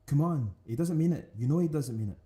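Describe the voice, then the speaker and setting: A pleading man in a short music video drama speaks in a very dark, mellow voice, quiet but insistent, as an actor plays him. The recording has a roomy echo. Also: fairly high pitch, lively intonation, quick pace, close to an everyday voice.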